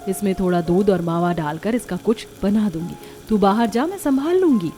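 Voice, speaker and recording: A woman voicing a gentle, dutiful elder daughter-in-law of a village family in a cartoon story, homely and helpful. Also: mid-range voice, lively intonation, normal speed, faint music behind, natural voice. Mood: concerned, reassuring. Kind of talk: Hindi cartoon story dialogue.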